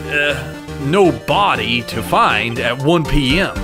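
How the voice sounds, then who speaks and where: A man's voice: medium pitch, animated, slow pace. A man playing a young, earnest and nervous rookie defense lawyer in a courtroom game fan dub, over music. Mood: skeptical. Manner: jumpy and hesitant, turning emphatic.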